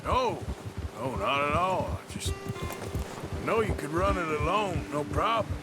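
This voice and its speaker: A man playing a gruff, sardonic middle-aged American outlaw in a western video game, with a drawling delivery. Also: animated, a little slow. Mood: earnest. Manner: dry, sardonic. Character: gruff, wry outlaw gunman in the Old West. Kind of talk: video game cutscene acting. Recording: loud music bed, vehicle-like rumble.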